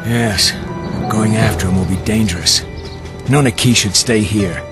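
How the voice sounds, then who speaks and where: A man plays a resolute male frontier hero in a game cutscene. His voice is on the low side and his words are terse and grim, with music clearly underneath. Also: measured pace, natural voice. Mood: grim resolve. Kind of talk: video game cutscene acting. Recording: music behind the voice.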